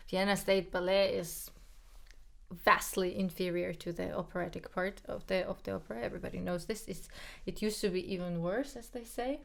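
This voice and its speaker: A woman's voice: mid-range voice, steady tone, normal speed, gravelly. A woman whose first language is Georgian, co-hosting a podcast in casual, frank chat, with many fillers and repeated words. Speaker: podcast co-host, pianist.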